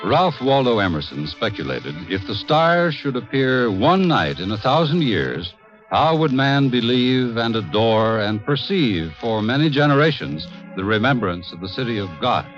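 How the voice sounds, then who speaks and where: A male narrator delivers scripted radio drama in a measured, literary style. His mid-pitched voice moves with animated inflection, over music on a muffled 1950s broadcast recording.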